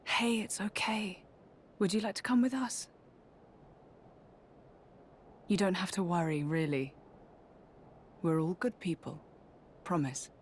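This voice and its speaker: A woman's voice: lowish voice, fairly even intonation, unhurried, pauses often, a little hiss or hum, natural voice. A woman playing a kind, reassuring young adventurer in a video game cutscene. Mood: gentle concern. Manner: gentle, encouraging.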